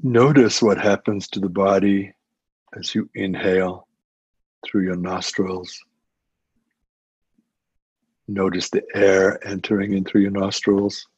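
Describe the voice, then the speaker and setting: Low, husky man guiding meditation. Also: flat delivery, dry recording. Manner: gentle, unhurried instruction with long pauses. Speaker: meditation teacher. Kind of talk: guided meditation. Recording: muffled, dull lo-fi audio.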